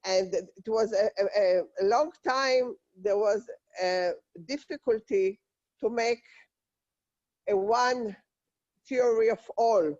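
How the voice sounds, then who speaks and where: A woman with a Hebrew accent speaking on an online panel, slow and halting with many fillers and restarts. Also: on the high side, darkish tone, no room sound. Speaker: conference panelist. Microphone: video call. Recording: dull lo-fi video call audio.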